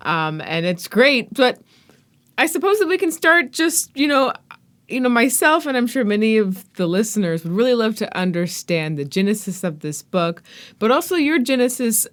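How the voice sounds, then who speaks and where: A woman's voice: on the high side, big pitch swings, normal speed, fairly bright tone, no room sound. A woman hosting a podcast, moving between scripted narration and interview talk. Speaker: podcast host.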